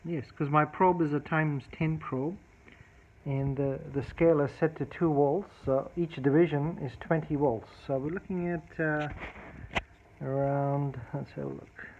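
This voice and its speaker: A narrow, phone-like recording of a man with a slightly high voice. He walks through a technical repair in an unscripted, methodical way, thinking aloud as he demonstrates each step. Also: steady tone, measured pace, slight background noise. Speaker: electronics repair hobbyist demonstrating a fix. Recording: thin phone-like band-limited sound.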